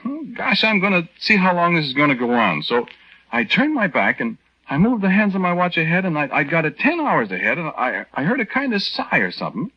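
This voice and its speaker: A man's voice: on the high side, big pitch swings, fairly quick. An actor playing a henpecked young American army private, telling his story in a hesitant, folksy, rambling way in 1940s radio style. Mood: exasperated, confiding. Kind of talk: 1940s radio acting, conversational first-person narration. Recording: dull lo-fi 1940s radio recording.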